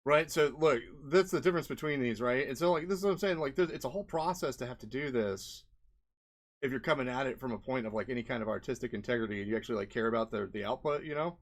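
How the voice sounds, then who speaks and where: A man's voice: mid-range voice, fast talker. A man giving an unscripted, casual walkthrough as a presenter, rambling conversationally and often checking in with the listener. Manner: rambling, conversational, often seeks agreement with "right?" and "you know". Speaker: indie game developer, presenter.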